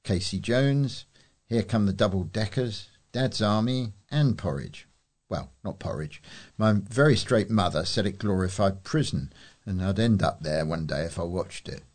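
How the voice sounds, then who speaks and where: A man's voice: deep voice, lively intonation, a little slow, darkish tone. A British man reading newspaper letters and editorials aloud as a volunteer newsreader.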